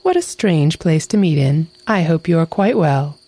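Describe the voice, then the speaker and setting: A woman voicing a sly, polite mother fox who is thin and hungry, talking smoothly and flatteringly. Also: deep voice, moderate pace, no room sound.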